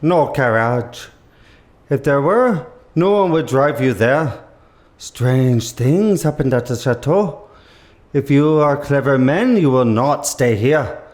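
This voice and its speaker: An actor playing a surly, frightened station master with a French accent, giving curt, ominous warnings. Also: male voice, medium pitch, animated, slow, darkish tone.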